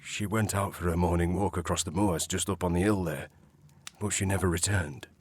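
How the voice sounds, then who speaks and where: An actor playing a halting, respectful, sombre manservant with a husky voice in a period audio drama. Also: male voice, low voice, steady tone. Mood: sombre, apologetic. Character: apologetic, deferential manservant of a great house.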